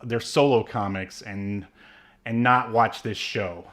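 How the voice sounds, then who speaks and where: A man's voice: on the low side, steady tone, slow, fairly bright tone. A man co-hosting a podcast in casual chat, rambling with many fillers and restarts. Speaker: podcast co-host.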